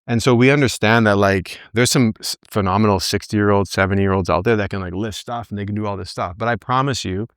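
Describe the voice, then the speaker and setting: Man, early forties, deep voice, dry close sound, informal motivational coach, conversational delivery. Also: fairly even intonation, a little fast, hardly any pauses, a bit bright, clean tone, no room sound. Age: early 40s. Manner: conversational, acts out his own thoughts as quotes, often tags points with "right?". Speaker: back pain coach leading a group session. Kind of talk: informal motivational talk to a group.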